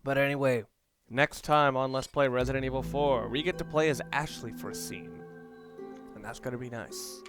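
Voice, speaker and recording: A man's voice: mid-range voice, steady tone, normal speed. A man giving casual let's play commentary, rambling and thinking aloud as he explains what he is doing. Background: game music and sounds.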